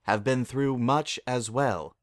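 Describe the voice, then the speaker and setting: Man as a royal night mare, formal, solemn, gentle, measured, storybook drama, dry sound. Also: medium pitch, no echo, natural voice. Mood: kindly counsel. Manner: formal, measured, archaic courtesy.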